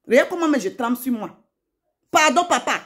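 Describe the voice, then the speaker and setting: A woman's voice: high voice, expressive, a little fast, a bit bright. A woman speaking straight to her viewers in an emotional monologue, indignant and pleading, repeating phrases for emphasis. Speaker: social media commentator.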